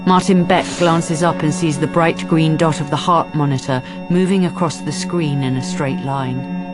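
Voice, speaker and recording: A woman narrating a crime story for a radio drama in measured, grave, scripted present tense. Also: sits low, fairly even intonation, over loud music, close to an everyday voice.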